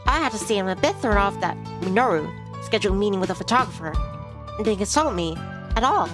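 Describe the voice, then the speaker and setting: A woman's voice: medium pitch, expressive, fast. A woman streamer reading a visual novel aloud, with a dramatic read-aloud delivery. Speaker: VTuber game streamer. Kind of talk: reading visual novel dialogue and narration aloud, voicing characters. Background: game music.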